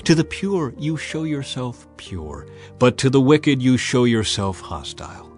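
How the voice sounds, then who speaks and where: A man reads scripture as a scripted, dramatized narration, in a solemn, measured and emphatic manner. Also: medium pitch, big pitch swings, a little mellow. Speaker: audio Bible narrator. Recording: music bed under narration. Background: background music.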